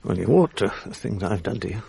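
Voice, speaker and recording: An actor playing a guilt-ridden, self-loathing English husband in a radio drama, educated and apologetic, with a gravelly voice. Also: male voice, fairly low pitch, sing-song, fairly quick, dark tone, exaggerated voice. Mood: subdued, apologetic. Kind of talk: radio drama acting, dialogue and first-person narration. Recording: 1980s radio drama with faint music.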